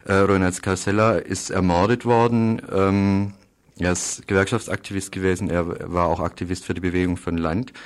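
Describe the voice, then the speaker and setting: A man explaining political news in a radio interview, halting often and repeating words as he goes. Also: low voice, level tone, clean tone. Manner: halting, hesitant delivery with frequent pauses and repeated words. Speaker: interviewee reporting on Uruguay.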